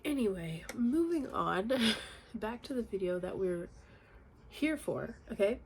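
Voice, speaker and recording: A woman's voice: medium pitch, a little slow, a little hiss or hum. A young woman with a congested, nasal voice from a cold, chatting casually in a makeup tutorial at home. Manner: chatty, relaxed, many fillers. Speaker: beauty vlogger. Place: home, indoors.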